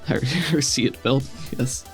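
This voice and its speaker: A man's voice: medium pitch, slow, soft background music. A man running a tabletop game as game master, talking through rules casually and encouragingly. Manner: encouraging, affirming.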